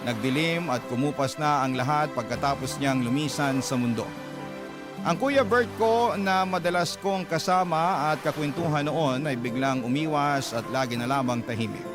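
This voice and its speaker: A man narrating a listener's letter in a slow, emotional storytelling style. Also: a little high. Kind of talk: scripted dramatic narration. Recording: loud music bed underneath.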